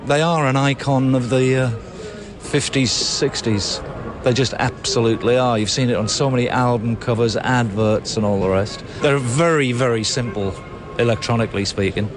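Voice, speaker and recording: A man's voice: mid-range voice, steady tone, moderate pace, crowd noise. A man giving his opinion in a casual interview.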